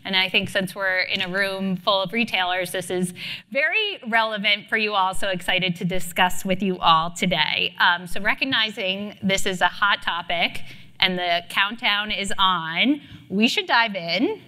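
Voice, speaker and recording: A woman moderates a conference panel before a live audience, her voice bright and clear. She keeps an upbeat, brisk pace, dropping quick affirmations between speakers. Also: medium pitch.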